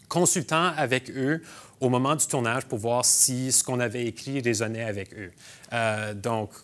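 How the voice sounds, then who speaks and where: A Franco-Manitoban man, a filmmaker, giving a university lecture in a measured way with frequent hesitations and restarts. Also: medium pitch, a bit bright. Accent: Franco-Manitoban French. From Manitoba, Canada.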